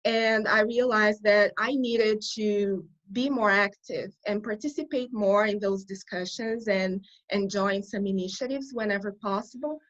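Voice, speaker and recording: A woman's voice: mid-range voice, a little slow, few pauses, fairly bright tone, lo-fi sound. A woman from Brazil whose first language is Portuguese, speaking English with a Brazilian accent as a guest in an interview. She talks earnestly and often restarts her phrases while she thinks. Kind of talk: conversational interview.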